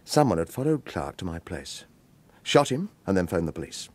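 A man's voice: low-pitched, very animated, normal speed, darkish tone, husky, full character voice. An actor playing a guarded, world-weary retired hitman in a radio crime drama, terse and wry. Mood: tense, brooding. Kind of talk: radio crime drama dialogue and first-person noir narration.

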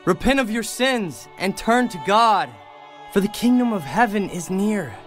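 A man's voice: sits high, animated, measured pace, a bit bright, soft background music. A man playing a stern, urgent wilderness prophet, exhorting in a dramatized audio Bible. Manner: denouncing, exhortative.

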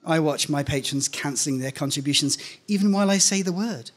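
High-pitched middle-aged man reading a story. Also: fairly quick. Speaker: reader of a short story at a live event. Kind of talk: scripted first-person narration, read aloud live. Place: indoor stage at a live reading event. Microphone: microphone on a stand, close in front.

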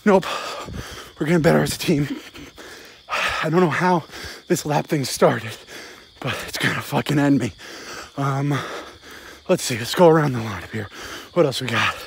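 A man's voice: fairly high pitch, normal speed, vocal fry, pauses often, breathy edge. A man in his forties giving a blunt, sweary, rambling sports rant in short emphatic bursts into a phone while walking outdoors. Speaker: sports vlogger, football fan. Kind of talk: casual postgame rant, rambling to camera. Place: outdoors at night. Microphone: phone held close.

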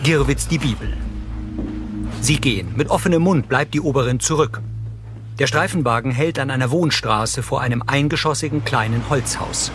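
A man's voice: medium pitch, moderate pace. Male audio-description narrator over music. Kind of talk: neutral scripted narration, present tense.

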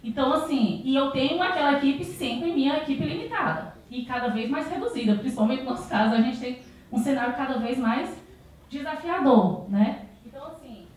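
A woman's voice: high voice, lively intonation, brisk. A woman in her thirties from Brazil giving a conference talk on stage with a handheld mic, often adding tag questions and restarting sentences. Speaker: conference speaker. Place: large auditorium stage with audience. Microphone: handheld microphone. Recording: very echoey hall, voice from far off.

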